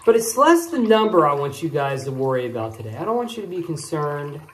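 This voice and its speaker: A man's voice: on the high side, big pitch swings, brisk. A man in his forties hosting a casual shaving vlog, talking in a chatty, rambling way while lathering and shaving in a small home bathroom. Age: 40s. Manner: chatty, rambling, folksy explanations. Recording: echoey bathroom.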